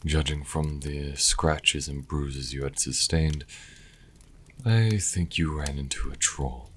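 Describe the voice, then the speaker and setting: A man's voice: extremely low pitch, slight background noise. A man playing a sassy vampire and reluctant caretaker in an audio roleplay, speaking dryly and sardonically to the listener. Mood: dry, calm. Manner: dry, sardonic, unhurried. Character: sassy male vampire, former thrall, grudging caretaker.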